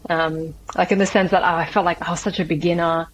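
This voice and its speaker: A woman with an Australian accent answering interview questions, thoughtful, with many pauses and "um"s. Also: lowish voice, level tone, a little hiss or hum, low-quality recording. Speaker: guest, business founder.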